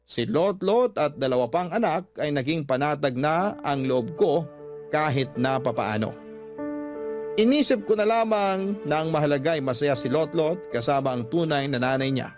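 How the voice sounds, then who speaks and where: A sorrowful male storyteller played by a man narrates a scripted first-person radio drama. His voice sits a little high, with music clearly behind it. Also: natural voice.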